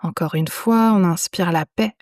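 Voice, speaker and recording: A woman guiding a yoga nidra meditation in a soothing, unhurried manner, leaving long pauses between phrases. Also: lowish voice, a little mellow, no echo, studio quality. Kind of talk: guided meditation.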